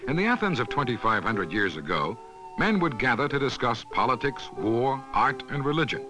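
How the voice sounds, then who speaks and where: A man reads scripted documentary narration in a measured, instructional manner, as on a 1970s educational film soundtrack. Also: mid-range voice, sing-song, music in the background, rough recording.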